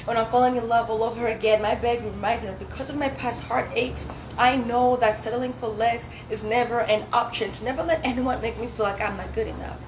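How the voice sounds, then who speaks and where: A young woman from Zambia, a Swahili speaker, performing a reflective spoken word poem in a measured recitation at a dinner event. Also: a little high, fairly even intonation. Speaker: poet. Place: indoor dinner event hall. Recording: roomy hall with background noise.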